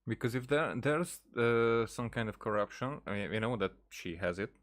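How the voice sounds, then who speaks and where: A man giving casual gameplay commentary as a streamer, hesitant and thinking aloud in a halting way. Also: mid-range voice, animated. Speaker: game streamer.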